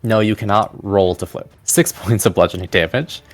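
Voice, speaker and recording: A man's voice: lowish voice, normal speed, faint music behind. A man narrating a tabletop roleplaying game as game master, in a casual, plain-spoken way.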